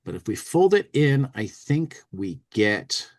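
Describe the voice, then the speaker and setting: A man's voice: medium pitch, very animated, slow. A man hosting a casual talk show, thinking aloud as he chats and reads bits from a magazine. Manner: thinking aloud while working. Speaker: podcast host.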